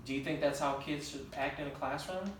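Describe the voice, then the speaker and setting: A man's voice: fairly high pitch, a little fast. A man reading a children's story aloud interactively, narrating and prompting the listener with questions. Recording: very echoey room, distant mic, faint noise.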